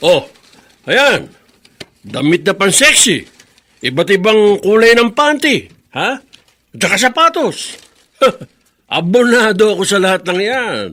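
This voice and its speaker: High-voiced, greedy, nagging crook. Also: male voice, slow, long pauses, exaggerated voice. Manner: nagging, excitable. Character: shady, impatient male accomplice, greedy and nagging. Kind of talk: Filipino radio drama acting.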